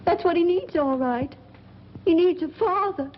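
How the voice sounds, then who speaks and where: A woman's voice: very high voice, lively intonation, measured pace. An actress playing a fretful, scolding mother in 1940s film style, speaking emphatically. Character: fretful, anxious, scolding mother of a mischievous boy. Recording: dull, noisy old film soundtrack.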